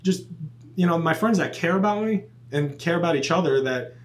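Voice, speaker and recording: Animated man in his twenties, fairly high voice. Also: fairly quick. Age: 20s. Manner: animated storyteller, acts out quotes. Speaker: police officer guest. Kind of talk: casual podcast chat.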